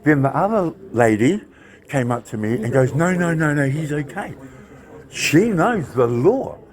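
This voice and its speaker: A New man arguing his case loudly and insistently with police in a government office. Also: fairly high pitch, very animated, measured pace. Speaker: member of the public confronting police. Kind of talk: heated argument. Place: government office lobby, indoors.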